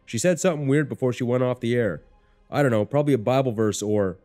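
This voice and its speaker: A man voicing a fierce female soldier under fire in an audio short story, shouting urgently. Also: mid-range voice, quick pace, soft background music, pitched above the performer's own voice, natural voice. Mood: urgent, desperate.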